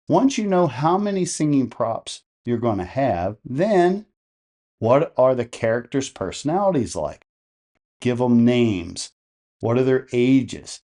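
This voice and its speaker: A man's voice: medium pitch, dark tone. A man hosting an instructional how-to video, explaining step by step and posing questions to the listener. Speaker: host of a how-to video on holiday light displays. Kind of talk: instructional tutorial narration.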